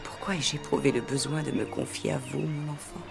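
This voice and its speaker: A woman playing an elderly, gentle aristocratic grandmother in a cartoon, speaking in a refined, wistful way. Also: rumbling low, steady tone, a little fast, creaky, full character voice. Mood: wistful, sorrowful. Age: older. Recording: background music under dialogue.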